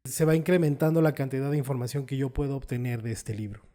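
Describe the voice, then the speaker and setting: A man's voice: medium pitch, quick pace, warm tone. A man, a photographer hosting his channel, patiently explaining ideas through everyday examples and tag questions. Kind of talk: explanatory video talk.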